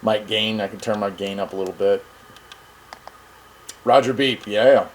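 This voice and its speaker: A man's voice: fairly low pitch, a little slow. A man giving a casual, folksy product demonstration, thinking aloud as he goes. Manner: informal, folksy, thinking aloud while operating a device. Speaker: host of a radio review channel. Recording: clear steady background noise.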